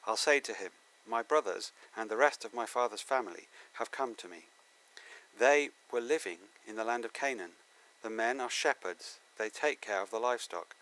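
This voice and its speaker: A man's voice: mid-range voice, normal speed, fairly bright tone, close to an everyday voice, poor audio. A man playing Joseph, a confident, commanding Bible-story figure, in an emphatic amateur dramatic reading. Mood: instructive, confident.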